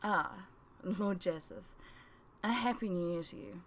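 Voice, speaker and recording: A woman voicing a gracious, enigmatic queen with a formal, measured manner. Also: lowish voice. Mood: gracious, composed. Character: gracious, enigmatic queen greeting her guests.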